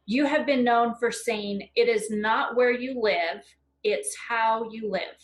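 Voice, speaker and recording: Upbeat woman interviewer, echoey room. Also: fairly high pitch. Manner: upbeat, warm questions, quick laughs. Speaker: podcast co-host interviewer. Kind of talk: casual interview chat.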